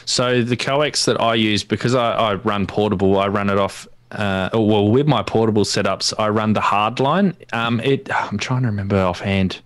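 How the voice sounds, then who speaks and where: A man's voice: fairly low pitch, fairly even intonation, moderate pace, few pauses, no echo. An Australian man in his thirties explaining technical things casually as a guest expert on a livestream, close on a studio mic. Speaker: guest ham radio expert. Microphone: close studio mic on boom arm, with headphones.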